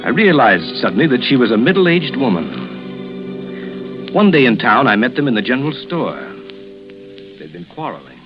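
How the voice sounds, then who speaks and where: An actor playing a grave family friend in a vintage radio suspense drama, with a dramatic, deliberate manner and suspenseful pauses. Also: male voice, medium pitch, big pitch swings, music in the background, close to an everyday voice. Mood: brooding, uneasy. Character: grave, brooding male family friend narrating a suspense tale. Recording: muffled old radio recording.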